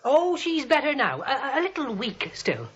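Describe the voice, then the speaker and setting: A gossipy, polite American policeman from 1940s radio comedy. He is plain-spoken, with a forceful, animated voice. The old recording sounds dull. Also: very high-pitched, normal speed, husky, heavily acted. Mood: respectful. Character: respectful, gossipy neighborhood police officer.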